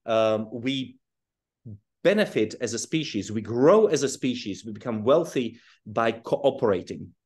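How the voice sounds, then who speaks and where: A man from Czechoslovakia and South Africa, a guest author, explaining his ideas in a relaxed interview over a video call, often restarting phrases. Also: mid-range voice, a little slow. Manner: thoughtful, restarts phrases, chuckles while explaining.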